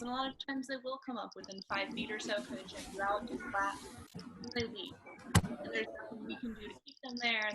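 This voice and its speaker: A woman joining a webinar over a video call to ask a question, relaxed and filling her pauses with "um". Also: on the high side. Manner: relaxed, uses many fillers. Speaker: webinar participant. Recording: very noisy video call line.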